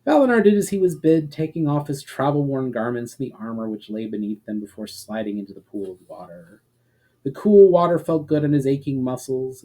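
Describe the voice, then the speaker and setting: Man reading fantasy, mellow voice. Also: medium pitch, low-quality recording. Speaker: author reading a novel chapter aloud. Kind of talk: dramatic storybook narration.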